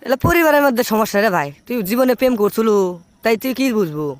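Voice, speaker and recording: Man voicing a lovesick cartoon lad, extremely high-pitched, Bogura accent. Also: very animated, quick pace, no room sound. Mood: earnest, defensive. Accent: Bogura, Bangladesh. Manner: plaintive, earnest, complaining. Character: heartbroken, stubborn lovesick young man. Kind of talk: comic cartoon dialogue.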